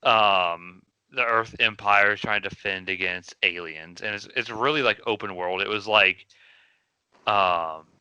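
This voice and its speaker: Bright, smooth-voiced man, close and dry. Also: lowish voice, measured pace, no room sound, muffled recording. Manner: rambling, many fillers and restarts. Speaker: podcast co-host. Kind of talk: casual chat.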